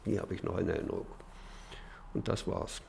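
An older man who recalls his childhood memories in a halting way, with fillers and restarts. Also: sits low, steady tone, vocal fry, background noise. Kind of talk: hesitant spoken memories.

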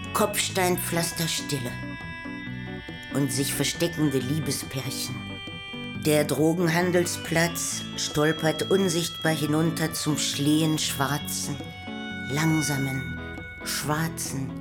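A woman playing a hushed, dreamy storyteller in a poetic radio play, slipping now and then toward a whisper. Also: low voice. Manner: slow, hushed, dropping into whispers. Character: hushed, dreamy female storyteller of a sleeping city quarter. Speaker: narrator.

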